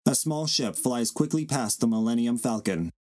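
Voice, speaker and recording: A man's voice: medium pitch, expressive, moderate pace, darkish tone, no echo, clean studio sound. A man narrating stage directions in a plain scripted reading.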